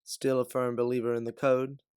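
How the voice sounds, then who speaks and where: A man's voice: medium pitch, monotone, moderate pace, darkish tone, clean tone, dry recording, clean studio sound, natural voice. A man voicing a guilt-ridden, hardened former bounty hunter in a sci-fi story, blunt and emphatic.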